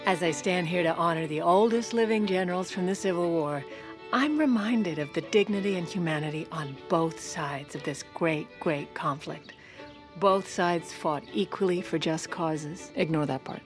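Low-voiced, scheming American First Lady, played by an actress. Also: moderate pace, over music, close to an everyday voice. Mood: formal, oratorical. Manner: dry, sarcastic. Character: scheming, wry, bossy First Lady seizing power. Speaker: lead character and narrator. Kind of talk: audio drama acting and narration.

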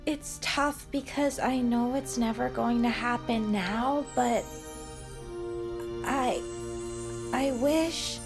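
A woman playing a laid-back, wryly joking young woman who is turning into a monster, slangy and halting, in an audio drama. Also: high-pitched. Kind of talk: scripted audio drama acting. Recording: loud music under the voice.

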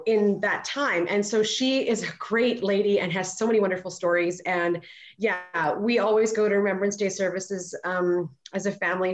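A woman sharing family anecdotes casually in a group online chat. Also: medium pitch. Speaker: chat participant. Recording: slightly dull video-call audio.

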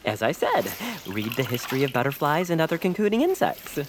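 A woman's voice: sits low, sing-song, fairly quick, noisy background. A woman voicing a fussy, timid, polite male homebody in a family audio drama, prim and hesitant. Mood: polite, strained. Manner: prim, hesitant, stammering when flustered.

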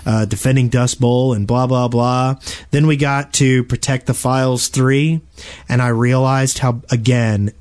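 A man's voice: medium pitch, steady tone, a little slow, darkish tone. Rambling man telling casual gaming stories. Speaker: podcast co-host. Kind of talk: casual gaming podcast chat.

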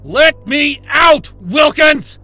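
An actor playing a defiant, indignant astrophysicist trapped at a polar station in a science-fiction audio drama, sputtering and cursing. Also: male voice, very high voice, lively intonation, drawn-out words, bright, forceful tone, shouting, soft background music, heavily acted. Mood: furious.

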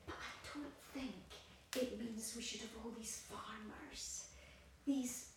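A woman's voice: a little high, takes it slowly. Actress playing an anxious, fussing mother, very echoey. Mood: insistent. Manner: insistent, nagging, doting on her baby. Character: anxious, fussing, controlling young mother and wife. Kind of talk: audio play acting. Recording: very echoey room, distant, faint hiss.

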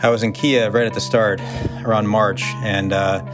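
An American man, a surgeon, recalling his work in a thoughtful, halting way with fillers. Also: lowish voice, little pitch movement, music in the background. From United States. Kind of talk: interview recollection.

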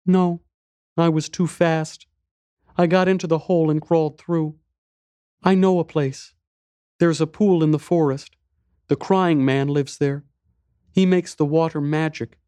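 A man voicing a small, lonely little boy in an audiobook, with soft, light, simple and plaintive speech. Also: a little high, steady tone, unhurried, pauses often, clean tone, no echo, pitched above the performer's own voice, natural voice. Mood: earnest. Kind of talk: audiobook character voice.

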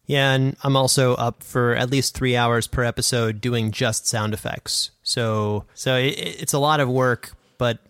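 A man's voice: mid-range voice, steady tone, measured pace, few pauses, a bit bright, clean tone, no room sound, clean studio sound. A man in his twenties co-hosting a podcast, explaining things in a casual, conversational way. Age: 20s. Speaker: podcast co-host and sound designer.

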